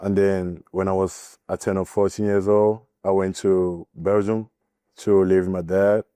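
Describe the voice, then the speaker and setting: A young man from Cameroon, a college basketball player giving a podcast interview, speaking haltingly with many fillers. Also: deep voice, little pitch movement, dark tone, clean tone, no room sound, studio quality. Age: young adult. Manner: halting, filler-heavy, nervous.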